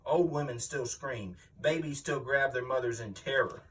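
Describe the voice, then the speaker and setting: A man's voice: mid-range voice, moderate pace, slight background noise, close to an everyday voice. Man playing a boastful, mean wrestler, far from mic. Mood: aggrieved, menacing. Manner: boastful, blustering, aggrieved. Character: boastful, mean veteran pro wrestler villain, male, older. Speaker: reader voicing an interviewed wrestler. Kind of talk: dramatic magazine interview reading, wrestling promo.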